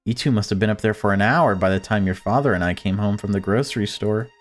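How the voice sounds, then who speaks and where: A fretful, prim mother from a visual novel, acted out by a low-voiced man. The manner is chatty and insistently upbeat, with forced cheer. Faint music plays underneath. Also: fast talker, close to an everyday voice.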